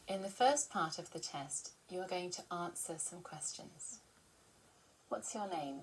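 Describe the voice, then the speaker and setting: Woman examiner, lower voice: formal, measured questions over a light background hiss. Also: animated, poor audio. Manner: measured and formal, asks short set questions. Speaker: exam interviewer. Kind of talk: scripted test questions.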